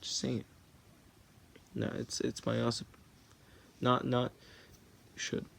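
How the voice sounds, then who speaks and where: A man's voice: medium pitch, monotone, slow pace, vocal fry, fairly bright tone, slight background noise. A teenage boy rambling through a story in a casual, jokey way, often stopping to correct himself. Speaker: vlogger.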